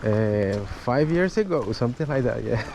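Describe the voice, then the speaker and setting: A man's voice: medium pitch, lively intonation, normal speed, creaky. A man from Costa Rica chatting casually, giving short replies and laughing easily. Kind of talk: casual chat. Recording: crowd noise.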